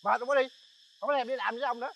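Man doing bandit sidekick, very high voice, quick talk, whiny, nagging. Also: vocal fry, slight background noise, full character voice. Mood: grumbling. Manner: flattering, then whiny nagging complaints. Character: fawning, grumbling male bandit sidekick. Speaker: comedy sketch actor. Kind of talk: comic sketch acting.

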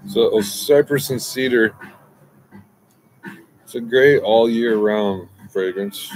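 Relaxed low-voiced man rambling on webcam. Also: slow pace, slight background noise, rough recording. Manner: relaxed, meandering, many restarts and asides. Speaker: fragrance reviewer. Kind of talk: casual rambling review. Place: outdoors by a brick wall, smoking.

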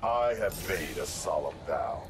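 An actor playing a proud, stern ninja master in a fighting game, with terse, formal, solemn lines. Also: male voice, low voice, big pitch swings, measured pace. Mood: proud, grave. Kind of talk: fighting game character acting. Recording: very loud background noise.

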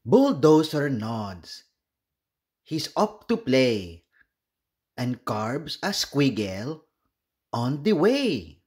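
A man with a Filipino accent reading a children's storybook aloud, expressively and deliberately. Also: medium pitch, big pitch swings, darkish tone. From Philippines. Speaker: children's book read-aloud host.

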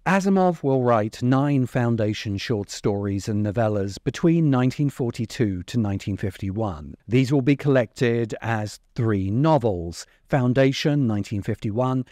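A middle-aged man delivering a scripted video essay in measured, emphatic, dramatic phrases, close to the microphone. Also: mid-range voice, a little mellow. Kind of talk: scripted narration, long-form commentary. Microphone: desk microphone close in front. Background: background music.

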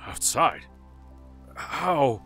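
An actor playing a dazed, exhausted man freed from captivity, speaking in a halting, confused way in an audio drama. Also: mid-range voice, big pitch swings, vocal fry, bright, forceful tone, faint music behind, exaggerated voice.

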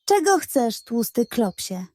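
A woman's voice: fairly high pitch, sing-song, slow pace, warm tone, no room sound, exaggerated voice. A woman voicing a lazy, haughty male cat in a children's storybook, bored, sarcastic and dismissive. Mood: bored, irritated.

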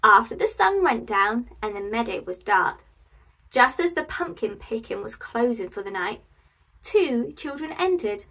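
A woman in her twenties reading a picture book aloud to preschoolers, animated and expressive. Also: a little high, unhurried, gravelly, distant mic, rough recording. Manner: animated, expressive, addressing young children. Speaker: children's storyteller and host.

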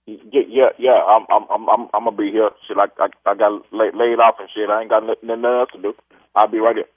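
A man with a heavy stutter, talking bluntly and casually with profanity in halting, repeated phrases. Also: lowish voice, little pitch movement, no room sound. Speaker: prank call target, customer. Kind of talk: casual profane phone conversation. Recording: tinny telephone line.

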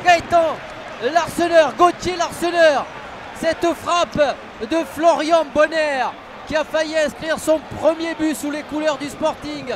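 A man giving passionate, excited live football commentary on the radio, often breaking into shouts. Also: very high-pitched, unhurried. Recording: stadium radio broadcast, loud crowd noise. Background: noisy football stadium crowd.

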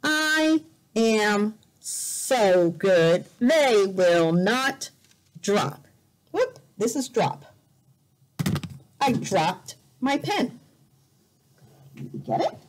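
A woman teacher reading a picture book aloud to children in a deliberate, exaggerated way, repeating words for learners, recorded indoors on a device camera. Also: medium pitch, very animated, slight background noise, rough recording. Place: indoors in a room by a bright window.

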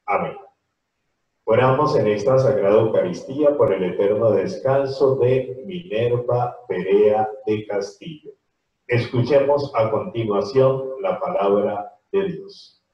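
A priest leading mass in a small chapel, reciting prayers slowly and solemnly into a handheld microphone. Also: male voice, lowish voice. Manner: slow, solemn liturgical recitation. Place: small chapel with altar and crucifix. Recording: echoey, distant, dull sound.

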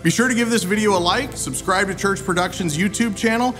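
A man's voice: high voice, fairly quick, big-room sound, poor audio. A man in his thirties hosting a video with polished, scripted presenter narration. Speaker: video host. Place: indoor set with wood-slat wall. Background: music bed.

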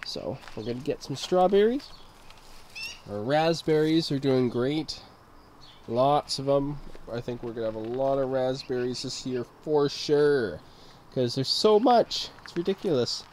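A young man with a stuffed-up voice from a cold, giving a relaxed, rambling tour of his backyard garden on a handheld camera. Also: mid-range voice, a little slow, a little hiss or hum. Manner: relaxed, rambling, easily amazed. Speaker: gardening channel host. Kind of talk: casual garden tour vlog. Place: backyard garden outdoors at dusk. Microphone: handheld camera mic, filming himself walking.

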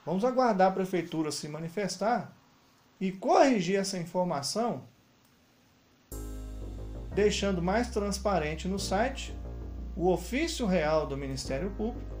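A man with a fairly high voice talks through a news story for viewers. He walks through the material point by point, with faint music playing under his voice. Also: animated, a little slow, long pauses, darkish tone. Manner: explains while pointing at web pages on screen. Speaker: news commentator. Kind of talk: screen-recorded news commentary. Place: voice-over over screen recording.

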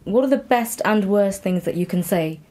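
A woman's voice: medium pitch, animated, normal speed, mellow. A woman interviewer talking conversationally, candid and reflective as she asks questions and shares her own thoughts.

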